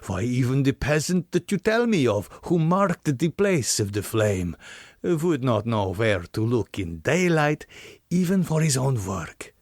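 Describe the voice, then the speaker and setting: Old nobleman vampire, slow, deliberate, courtly, proud, menacing, gothic audiobook delivery, dry sound. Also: medium pitch, very animated, a little mellow, dry recording, voice pushed up. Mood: scornful, ominous. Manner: slow, grandly formal, deliberate phrasing. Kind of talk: gothic audiobook character reading.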